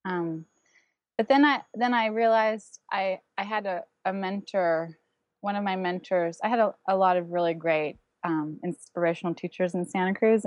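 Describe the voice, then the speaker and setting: A woman's voice: fairly low pitch, no room sound. A woman with German as her first language, a guest artist talking over her path, thoughtful and full of ums and restarts. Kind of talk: casual interview, recounting her path.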